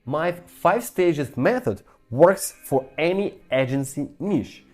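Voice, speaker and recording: Low-pitched woman with a Ukrainian accent. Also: lively intonation, takes it slowly, warm tone, faint music behind. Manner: emphatic, persuasive, addressing the viewer directly. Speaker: startup founder, business course presenter. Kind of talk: scripted master-class sales presentation.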